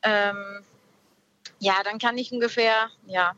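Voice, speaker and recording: A woman's voice: medium pitch, fairly even intonation, slow pace, bright, forceful tone. A woman from Peru speaks reflectively and hesitantly as a guest on a show, over a lo-fi connection. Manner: hesitant, searching for words. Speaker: caller, guest on a radio show. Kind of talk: casual reflective conversation. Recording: dull, lo-fi connection.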